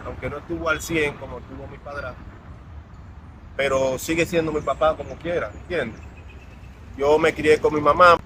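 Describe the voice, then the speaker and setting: A man, a guest telling his life story in an earnest, reflective way. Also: mid-range voice. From Dominican Republic. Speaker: interview guest. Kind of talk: personal storytelling in an interview. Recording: outdoor traffic noise.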